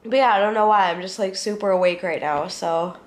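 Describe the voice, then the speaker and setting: A woman's voice: mid-range voice, a little fast. A young woman with a cold and a congested, nasal voice, chatting casually to the camera from her living room couch, rambling and swearing now and then. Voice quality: nasal, stuffy. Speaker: vlogger. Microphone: handheld camera close to the face.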